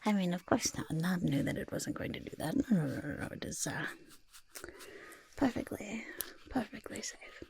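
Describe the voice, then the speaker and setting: Woman voicing a husky, whispering boastful goblin. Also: fairly low pitch, animated, moderate pace, darkish tone, heavily acted. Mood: nervously reassuring. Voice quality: breathy, husky near-whisper. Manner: refers to self in third person, hesitant backpedalling. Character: boastful, evasive goblin potion-maker, fussily caring. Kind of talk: soft-spoken ASMR personal attention roleplay.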